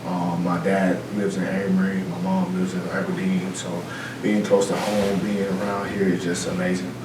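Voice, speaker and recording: A low-voiced man from Mississippi telling a room about his years as a player and coach. He talks earnestly and rambles, with plenty of fillers. He sounds far from the mic, in a roomy space with clear background noise. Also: monotone, normal speed. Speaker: football coach. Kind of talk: self-introduction to a room. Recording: roomy, distant, noisy room recording.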